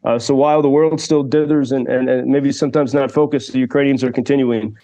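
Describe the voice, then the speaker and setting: A man's voice: medium pitch, fairly even intonation, brisk, mellow, poor audio. A man reporting live for a radio show, talking thoughtfully with many fillers and restarts. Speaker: radio correspondent. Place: Kyiv.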